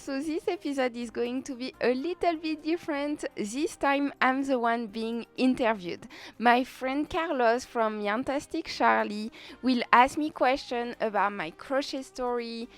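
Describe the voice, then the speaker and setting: French-accented woman, higher-pitched voice. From France. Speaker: podcast host being interviewed. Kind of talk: casual conversational interview chat.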